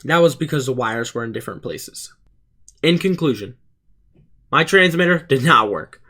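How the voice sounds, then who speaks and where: A teenage young man presents a scripted explainer in the style of a student YouTuber. His voice sits at an average male pitch. He is earnest and plain in how he announces each part. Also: animated, moderate pace, sharp tone.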